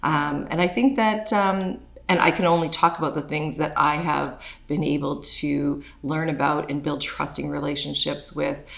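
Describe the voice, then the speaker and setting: Hoarse, deep-voiced woman guest. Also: fairly even intonation, normal speed, few pauses. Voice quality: deep, raspy voice. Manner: chatty, warm, many fillers. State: hoarse from talking too much. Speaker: guest, shop and cafe owner. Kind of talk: casual podcast conversation.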